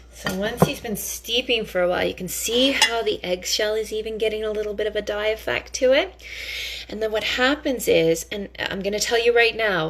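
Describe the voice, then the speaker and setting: A woman's voice: mid-range voice, noisy background. A woman giving a casual cooking demonstration in a chatty, theatrical, playfully enthusiastic way.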